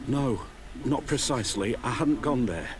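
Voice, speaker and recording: A man's voice: medium pitch, animated, normal speed, noisy background, close to an everyday voice. An actor playing an anxious, evasive guard in a video game, stammering and deferential as he reports to his superiors. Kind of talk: video game dialogue acting.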